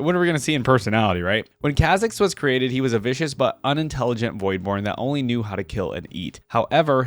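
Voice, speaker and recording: A man's voice: mid-range voice, dry recording. Casual young man, very dry sound. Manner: informal, slangy. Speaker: reaction video host. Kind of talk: casual commentary, reading wiki text aloud.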